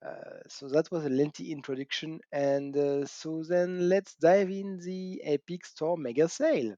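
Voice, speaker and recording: A middle-aged man with a French accent hosts his gaming channel in a chatty, self-mocking way, laughing and whooping between points. His voice is mellow and warm. He's recorded on a dull cheap mic in a dry room. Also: fairly high pitch, a little slow, no room sound. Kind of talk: casual talk to camera.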